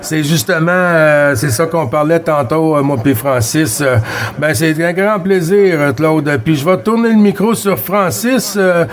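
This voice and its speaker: Higher-voiced Québécois man on the radio. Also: unhurried. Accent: Québécois French. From Quebec, Canada. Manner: hesitant, courteous, repeats himself. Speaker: amateur CB radio operator. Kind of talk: casual radio contact chat.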